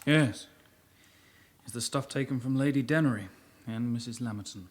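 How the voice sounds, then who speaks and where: A man's voice: medium pitch, moderate pace, slight background noise, natural voice. An actor in his twenties playing a questioning young detective constable, a grammar school boy, in a radio drama. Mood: sceptical. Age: 20s.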